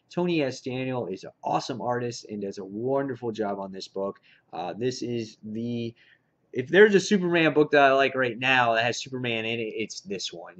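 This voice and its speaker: Man, dull lo-fi recording, enthusiastic reviewer. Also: mid-range voice. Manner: enthusiastic fan talk, addresses viewers directly. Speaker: comic book reviewer, channel host. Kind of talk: casual unscripted haul review to camera.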